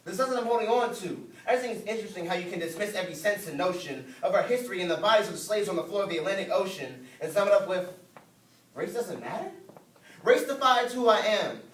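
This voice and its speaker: Fast high-voiced man performing slam poetry. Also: expressive. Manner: rhythmic, rhyming, emphatic delivery. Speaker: spoken word poet. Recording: roomy, distant mic, faint noise.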